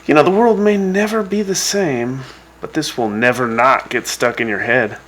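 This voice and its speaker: A man's voice: medium pitch, normal speed, fairly bright tone, slight background noise. A man hosting a radio show in casual DJ patter, with a laid-back, wry and folksy way of talking. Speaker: radio DJ host.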